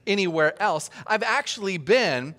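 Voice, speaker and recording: A pastor preaches in a loose, joking way, often turning questions back to the congregation. His voice sits high for a man and swings up and down with a lot of life. The sound rings with the long reverb of a large church hall. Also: animated, a little slow, bright, forceful tone. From Austria. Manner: chatty and joking, asks the audience questions. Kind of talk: conversational sermon.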